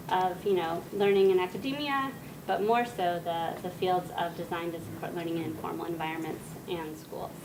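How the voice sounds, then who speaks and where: A woman giving an academic talk to an audience, soft-spoken and pausing often with "um". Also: lowish voice. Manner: soft-spoken, frequent "um" pauses. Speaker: guest speaker. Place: lecture room. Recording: distant mic with noisy room.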